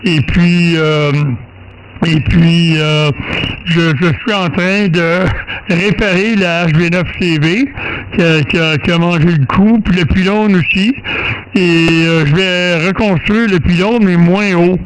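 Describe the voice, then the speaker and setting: High-pitched Québécois man chatting on radio. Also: steady tone, slow, rough recording. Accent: Quebec French. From Quebec, Canada. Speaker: amateur radio operator. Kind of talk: casual radio chat. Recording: faint radio noise.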